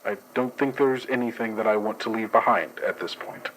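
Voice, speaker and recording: Calm man giving a measured report. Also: lowish voice, low-quality recording. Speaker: agent narrator of dream report. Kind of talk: scripted in-character report.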